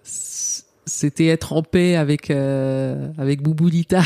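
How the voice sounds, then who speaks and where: A middle-aged woman, a TV journalist, speaking reflectively in an interview. She often pauses with fillers and restarts her sentences. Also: low voice, steady tone, gravelly, warm tone. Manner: hesitant, many fillers and restarts.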